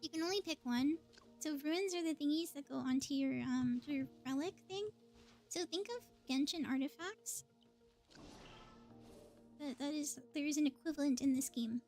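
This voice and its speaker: A woman's voice: high-pitched, normal speed, darkish tone. A woman livestreamer giving casual gameplay commentary, thinking aloud in short bursts as she plays. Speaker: livestreamer playing a video game. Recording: music behind the voice. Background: music.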